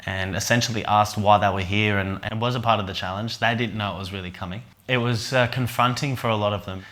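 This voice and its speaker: A man's voice: on the low side, fairly even intonation, fast. A man, an event organiser and men's coach, talking earnestly and reflectively in a documentary interview. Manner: soft-spoken, earnest, with fillers.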